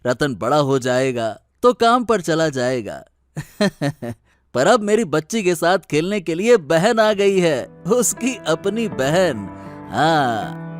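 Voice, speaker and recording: A man plays a kind young brother and landowner in a Hindi cartoon moral tale. His voice is a bit high, unhurried and lively in pitch, respectful and sincere, with faint music behind. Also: no echo. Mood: tender. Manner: earnest, respectful.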